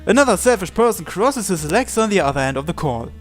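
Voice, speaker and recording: A man with a somewhat high-pitched voice reads a visual novel aloud for a let's-play. He voices both the narration and every character's lines in his own plain voice. Soft game music plays quietly underneath. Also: big pitch swings, fast talker.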